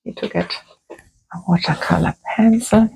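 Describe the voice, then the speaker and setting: Woman, calm crafting tutorial, soft-spoken, unhurried, very slow pace. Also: mid-range voice, vocal fry, breathy edge, poor audio. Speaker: creative therapist.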